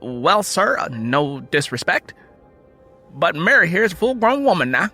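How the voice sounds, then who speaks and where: A man playing an earnest, polite but determined young rural churchman with a steady, respectful, folksy way of talking, in an audio drama. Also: fairly high pitch, measured pace, faint music behind, pitched above the performer's own voice, full character voice.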